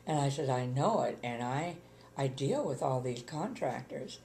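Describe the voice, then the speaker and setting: An older woman with a gravelly voice, chatting plainly about her life in an interview, with many ums. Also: rumbling low, animated, unhurried, dark tone, a little hiss or hum. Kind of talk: casual reminiscing chat.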